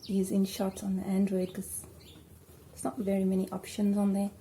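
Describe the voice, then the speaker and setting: A South African woman chatting casually at home while doing her hair, rambling and filling pauses with ums. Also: mid-range voice, monotone, mellow, slight background noise. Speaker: vlogger. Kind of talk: casual hair tutorial chat.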